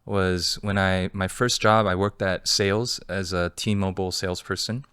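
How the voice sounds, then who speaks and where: A man with a very low, smooth and resonant voice tells his story to an interviewer. He sounds relaxed and candid, with frequent fillers. The recording is close and dry. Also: normal speed, no room sound. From Los Angeles, California. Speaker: guest, entrepreneur and fund manager.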